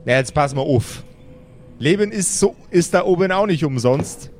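Guy playing a braggy necromancer boss, higher voice that swings around a lot, slangy and rambling. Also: expressive, normal speed, a little mellow, faint music behind, lightly acted. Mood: smug, chummy. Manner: slangy, stammering, repeats himself. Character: boastful, chummy undead necromancer boss bragging about gadgets.

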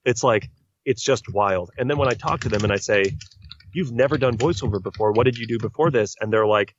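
A man's voice: mid-range voice, a little fast, a little hiss or hum. A man who is a voice-actor guest on a podcast, talking earnestly and at length. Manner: earnest, talkative, explains at length. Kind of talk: casual podcast interview. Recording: dry, muffled lo-fi sound.

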